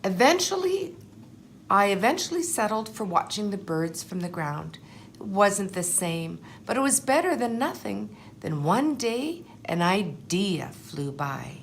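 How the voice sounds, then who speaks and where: A woman voicing a humble, anxious but determined egg telling his life story in a storybook, in an earnest, measured way. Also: mid-range voice, very animated, vocal fry, a little hiss or hum. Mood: hopeful. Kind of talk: storybook first-person narration.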